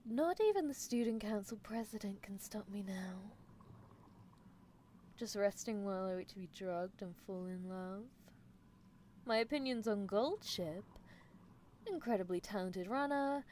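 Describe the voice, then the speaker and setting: A woman's voice: medium pitch, animated, unhurried, long pauses, a little mellow, lightly acted. Woman playing a teasing mad-scientist girl, noisy background. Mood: playfully menacing. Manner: theatrical, teasing, musing. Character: teasing female mad-scientist horse-girl, playfully menacing. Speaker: livestreamer. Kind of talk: roleplay ASMR livestream, answering chat in character.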